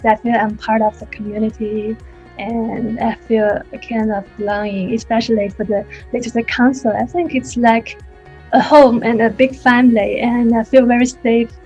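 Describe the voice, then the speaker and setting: A woman's voice: fairly high pitch, flat delivery, moderate pace, a little mellow, breathy edge, dull audio, faint music behind. A woman who is an adult English learner gives a testimonial in simple, non-native English. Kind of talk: testimonial interview.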